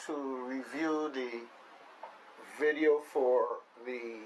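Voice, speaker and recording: A man with a Caribbean accent from the Virgin Islands hosts casual live radio, talking playfully and haltingly and repeating his phrases. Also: mid-range voice, fairly even intonation, a little hiss or hum, low-quality recording. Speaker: radio show host. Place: radio studio with shared microphones.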